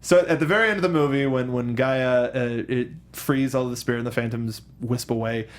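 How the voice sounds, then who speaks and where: A man hosting a casual movie discussion, talking in a rambling, halting way and often correcting himself mid-sentence. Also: medium pitch. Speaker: film discussion show host. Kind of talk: casual movie review chat.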